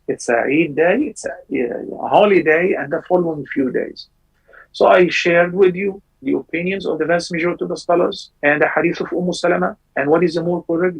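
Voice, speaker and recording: A man hosting a live call-in show, answering callers' religious questions in measured explanations. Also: creaky, slightly rough, poor audio. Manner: measured explanations with sudden lively rises in pitch. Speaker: scholar answering viewers' calls.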